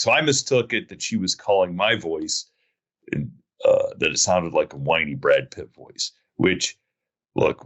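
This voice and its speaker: A man with a very low voice hosts a casual podcast chat. He tells long, meandering stories and often makes fun of himself. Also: fairly bright tone, highs cut off. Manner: rambling storyteller, self-mocking. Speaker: podcast host.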